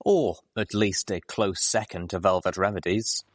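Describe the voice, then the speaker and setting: A man reading a story aloud as a scripted, expressive narration. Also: sits low, normal speed, noisy background. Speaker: audiobook reader.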